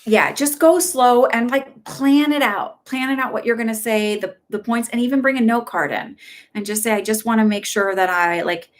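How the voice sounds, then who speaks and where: A middle-aged woman from Texas giving casual live psychic readings, chatty and upbeat, rambling along, gently reassuring as she passes on advice. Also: fairly high pitch, normal speed.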